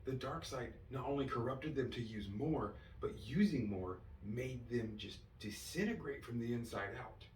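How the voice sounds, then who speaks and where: A man giving an enthusiastic fan review as a solo host, excited and emphatic, drawing out key words. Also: mid-range voice, normal speed, few pauses. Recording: roomy, distant mic with faint noise.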